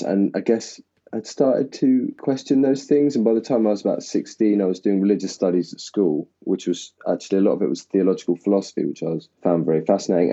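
A man from Essex, an actor, talking at length and laughing at himself in a relaxed interview. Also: sits low. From England (Essex). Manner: rambling, self-deprecating, laughs often. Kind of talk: casual interview conversation. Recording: dull lo-fi sound.

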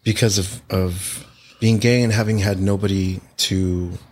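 A male interviewer with a somewhat low voice and a husky edge. He keeps his questions brief and uses them to draw out his guest's story. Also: steady tone, measured pace, a little mellow. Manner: brief prompts and follow-up questions. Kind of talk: conversational interview.

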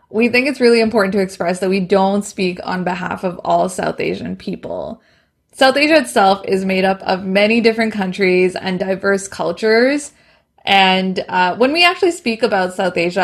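A young adult woman from Bangladesh co-hosting a podcast, speaking in a measured, earnest way. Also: medium pitch. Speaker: podcast co-host. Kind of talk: scripted podcast intro.

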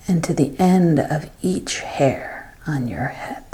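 A woman leading a scripted devotional guided meditation, with hushed phrase endings and long pauses. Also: sits low, expressive, in a whisper.

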